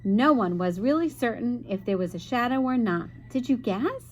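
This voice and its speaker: A woman's voice: fairly high pitch, lively intonation, normal speed, darkish tone, slight background noise. A woman teacher reading a children's picture book aloud expressively, with chatty asides to young listeners.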